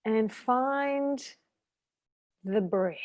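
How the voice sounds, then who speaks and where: Slow-paced woman guiding a meditation. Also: on the high side. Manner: soothing, gentle, with long pauses between short phrases. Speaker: guided meditation teacher and intuitive therapist. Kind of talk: guided meditation, slow calming instruction.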